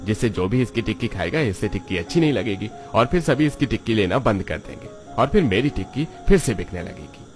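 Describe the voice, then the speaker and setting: A man's voice: lowish voice, animated, a little fast, few pauses, darkish tone, soft background music, natural voice. A man voicing a jealous, scheming male snack-cart vendor in a cartoon, plotting to himself.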